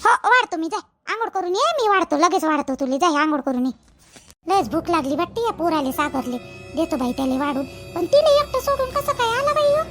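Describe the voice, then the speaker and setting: A woman's voice: extremely high pitch, lively intonation, brisk, over music. A woman voicing a busy, fussing village mother in an amateur cartoon, chatty and repetitive, with a Vidarbha Marathi accent. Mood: worried, wondering. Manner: chatty, repeats herself.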